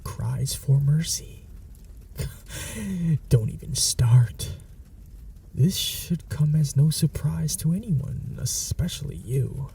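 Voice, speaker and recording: A man's voice: on the high side, animated, slow, long pauses, warm tone, recorded from a distance, full character voice. A man voicing a menacing, possessive male vampire in an ASMR audio roleplay, speaking in a whispery, mocking, threatening way. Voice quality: whispery, gravelly.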